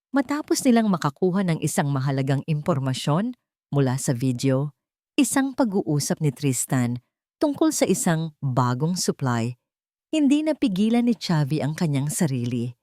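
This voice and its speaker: A woman narrating a scripted romance story in an expressive, sentimental storytelling manner. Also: sits low, dry recording, studio quality.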